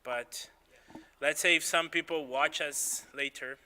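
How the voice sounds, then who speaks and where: Man, host, bright, smooth, upbeat, friendly, casual live chat, reverberant hall. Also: a little high, steady tone, slow. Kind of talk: live-stream panel chat.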